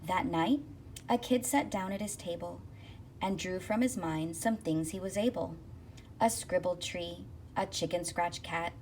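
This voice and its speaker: Low-pitched woman reading a picture book. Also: darkish tone. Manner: rhythmic, deliberate phrasing of rhyming verse. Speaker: school counselor reading aloud. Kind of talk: expressive children's picture book read-aloud. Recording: distant mic with faint noise.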